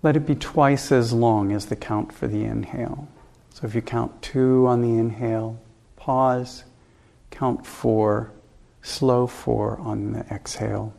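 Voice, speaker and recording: Man, meditation teacher, slow pace, creaky mellow tone, calm gentle guidance, unhurried pauses. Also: medium pitch. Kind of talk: guided meditation instruction.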